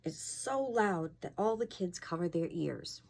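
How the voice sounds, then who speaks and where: A woman's voice: on the low side, expressive, measured pace, creaky, a little mellow. A woman fire inspector reading a storybook aloud to children, expressively, with casual asides and questions to her young listeners.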